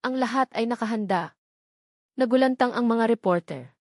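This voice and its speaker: A woman's voice: a little high, normal speed, no room sound, clean studio sound. A woman with a Filipino accent reading a scripted dramatic story as its narrator.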